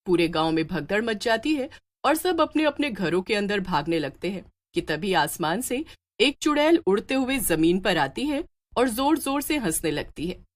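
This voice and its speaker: A woman's voice: medium pitch, sing-song, normal speed. A woman storyteller reading scripted, dramatic narration for a children's tale.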